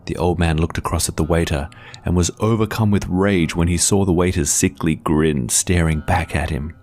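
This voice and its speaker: Very deep-voiced man narrating horror, over music. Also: fairly even intonation, normal speed, a little mellow. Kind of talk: scripted dramatic narration of a horror tale.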